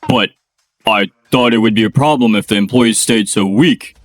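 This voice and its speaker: An actor playing an arrogant, bossy middle-aged company president in a manga dub. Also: male voice, fairly low pitch, moderate pace, faint music behind, lightly acted. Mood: shaken. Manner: bossy, domineering.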